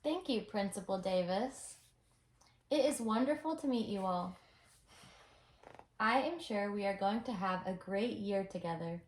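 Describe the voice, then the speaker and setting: A woman's voice: medium pitch, animated, unhurried, pauses often, roomy sound, rough recording. A woman reading a storybook character's dialogue aloud in a home recording. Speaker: co-reader on a child's home video.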